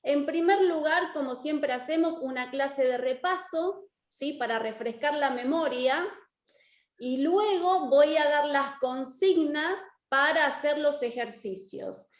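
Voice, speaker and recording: An Argentine woman with a very high voice teaches an online class. She is encouraging and often checks in with short questions. Her voice rings in an echoey room. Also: takes it slowly. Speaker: course teacher and lawyer. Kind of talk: interactive online lecture.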